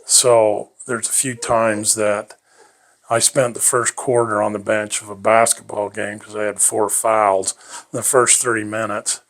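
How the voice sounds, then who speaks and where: A man's voice: fairly low pitch, little pitch movement, a little slow, fairly bright tone. Rambling, folksy older man. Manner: rambling and folksy, chuckles often. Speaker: interviewee. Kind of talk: reminiscing life story.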